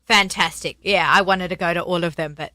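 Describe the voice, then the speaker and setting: A woman's voice: fairly low pitch, steady tone, sharp tone, no room sound. A middle-aged woman hosting a radio show, chatting casually and bubbly on a studio mic. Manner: bubbly, quick, laughs often. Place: small cluttered radio studio. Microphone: studio mic with headphones.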